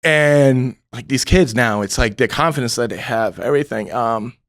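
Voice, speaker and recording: A man's voice: mid-range voice, normal speed, no echo, studio quality. A man who cofounded a youth sports league and coaches in it, telling stories in casual podcast talk, rambling and full of "like" fillers. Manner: rambling, heavy on "like" fillers, acts out what he told players.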